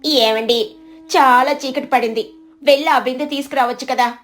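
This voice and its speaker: A woman voicing an anxious, simple fisherman's wife in an animated moral story, asking fretful questions. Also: high-pitched, normal speed, faint music behind. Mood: anxious curiosity.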